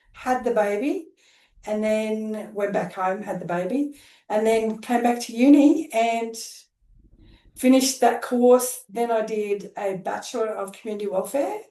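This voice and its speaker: A woman's voice: fairly high pitch, dark tone, recorded from a distance. A middle-aged Australian woman from Queensland, a social worker telling her life story in a casual, rambling way with plenty of fillers. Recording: roomy echo.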